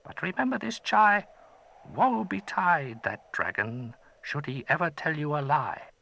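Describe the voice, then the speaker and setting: A man voicing a kindly old male magician who speaks gravely and gives solemn warnings in a storybook. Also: on the high side, very animated, unhurried, sharp tone, pitched above the performer's own voice, full character voice.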